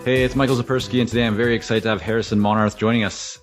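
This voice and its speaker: A man's voice: lowish voice, fairly even intonation, racing, over music. A man hosting a podcast, asking long, winding questions of his guest. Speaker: podcast host. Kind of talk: interview questions. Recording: dull, muffled sound.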